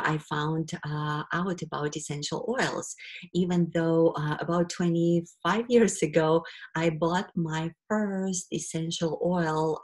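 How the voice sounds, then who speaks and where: A middle-aged woman from Ukraine with a Ukrainian accent, presenting a webinar and pausing often on fillers. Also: deep voice. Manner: hesitant, many fillers.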